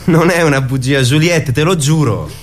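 An actor playing a dreamy, earnest young man in a radio play, telling his story with hushed pauses. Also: fairly high pitch, a little hiss or hum, lightly acted. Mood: reassuring, pleading. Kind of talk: radio theatre acting.

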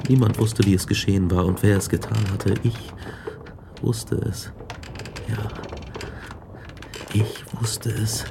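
A haunted, grieving man in a radio play. His voice has a creaky, gravelly grain and he confides in halting, brooding phrases, with clear ambient noise around him. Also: fairly low pitch, fairly even intonation. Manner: halting, brooding, confessional delivery. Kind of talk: radio drama acting and narration.

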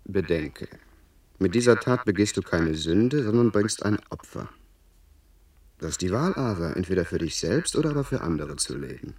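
Radio drama tempter: lowish voice, very animated melody, insistent and persuasive, recorded at some distance. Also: male voice, normal speed. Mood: persuasive, approving. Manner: persuasive, insinuating, theatrical. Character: smooth-talking, insistent inner tempter urging a young lord to duty. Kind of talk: German radio play acting.

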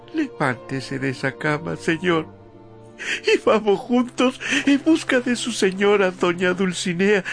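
A simple, loyal peasant squire in a radio drama. He pleads slowly and tearfully in a creaky, grief-stricken voice. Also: high-pitched, exaggerated voice.

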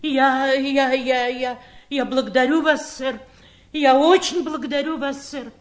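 An actress playing a humble, loyal maid in a radio play, speaking deferentially and hesitantly. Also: female voice, high voice, steady tone, a little slow. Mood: flustered, grateful. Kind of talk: radio play acting in Russian.

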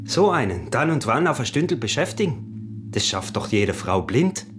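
An actor playing a greedy, possessive smuggler husband with an Austrian accent, wheedling and swooping between murmurs and exclamations in an intimate radio drama. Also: male voice, mid-range voice, very animated, normal speed, fairly bright tone, slight background noise. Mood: coaxing, proud. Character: scheming, possessive, short-winded smuggler husband, greedy and proud.

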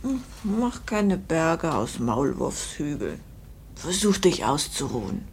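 An actress playing a patient, soothing wife in a 1950s German radio drama, gently coaxing in a hushed, breathy way. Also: female voice, deep voice, very animated, takes it slowly, full character voice. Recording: noisy vintage 1950s radio recording.

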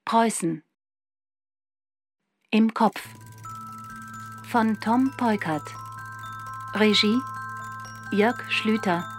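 A woman announcing radio drama titles and credits in measured, separate phrases. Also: medium pitch. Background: music with chimes.

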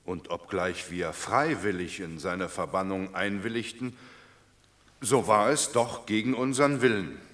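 An actor playing a sheepish, excuse-making commoner in a classical verse radio drama, hesitant and apologetic. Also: male voice, sits low, a little slow, a bit bright.

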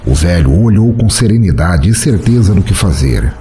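A man's voice: low voice, expressive, moderate pace, warm tone, slight background noise. A man with a Brazilian accent reading a scripted fantasy story as narrator.

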